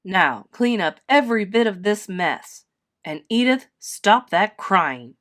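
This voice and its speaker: A woman voicing a stern, solemn grown-up male bear from a storybook, measured and authoritative. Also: on the low side, sing-song, a bit bright, full character voice.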